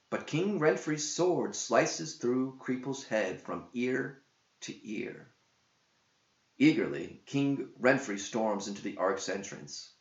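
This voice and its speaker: Male narrator, theatrical verse storytelling, very unhurried, roomy and echoing recording. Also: medium pitch, lo-fi sound. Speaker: narrator, author reading own verse epic. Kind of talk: dramatic scripted verse narration.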